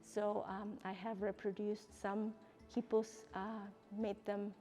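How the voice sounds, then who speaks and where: A woman from Ecuador with an Ecuadorian Spanish accent, explaining her exhibition as an artist and professor in measured, hesitant phrasing. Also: mid-range voice, level tone, warm tone. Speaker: artist and art professor presenting own exhibition.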